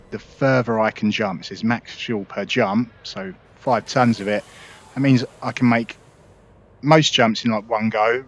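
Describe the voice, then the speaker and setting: A man's voice: on the low side, fairly bright tone, highs cut off. A man hosting a gaming video, casually walking viewers through his ship setup in a chatty, explanatory way with frequent "uh"s and restarts. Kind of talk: casual gameplay walkthrough.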